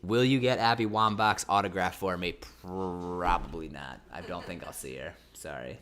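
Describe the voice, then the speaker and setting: A man's voice: low voice, normal speed, a bit bright, a little hiss or hum. A man hosting a casual livestream, chatting in a rambling, self-correcting way while getting over a mild cold with a slightly sore throat. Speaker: livestream host. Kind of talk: casual livestream chat with viewers.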